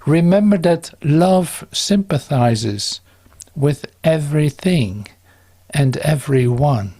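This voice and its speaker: A man giving a calm, solemn, measured reading of a devotional text, with drawn-out pauses. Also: fairly high pitch. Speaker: devotional reader.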